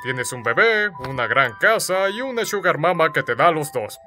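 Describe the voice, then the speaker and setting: Anime parody: big teasing alien uncle played by a man, exuberant and childlike, highish animated voice, Latin American Spanish accent, light music behind. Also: normal speed. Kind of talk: anime parody fan dub acting. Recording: faint music under the voice.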